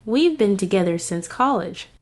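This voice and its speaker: A woman's voice: medium pitch, animated, normal speed, no echo, clean studio sound, lightly acted. A woman voicing a heartbroken young office worker who narrates her breakup in a romance comic dub. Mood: wistful. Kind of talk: scripted first-person comic dub narration. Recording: music behind the voice.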